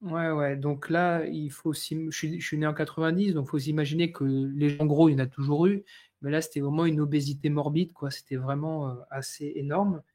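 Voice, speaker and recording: Man in his 30s from France, higher-pitched voice, halting speech full of fillers, telling his life story. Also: level tone, a little mellow. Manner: hesitant, many fillers and restarts. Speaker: guest. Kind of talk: personal life story in a live talk.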